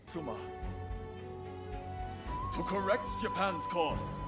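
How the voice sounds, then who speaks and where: An impassioned samurai rebel in a video game drama. He declaims slowly and deliberately to rally his country, with a high voice and music playing behind him. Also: animated. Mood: stern.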